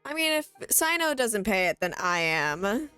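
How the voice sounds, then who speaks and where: A woman's voice: medium pitch, big pitch swings, a little slow, bright, forceful tone, soft background music. A woman giving casual live reactions as a game streamer.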